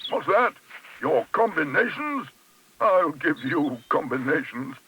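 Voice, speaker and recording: Man acting a blustering British grumpy neighbour, creaky-voiced. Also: mid-range voice, expressive, a little fast, far from the mic, very noisy. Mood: outraged, suffering. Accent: British English. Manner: blustering, peremptory, indignant. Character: grumpy, bossy, self-important male neighbour.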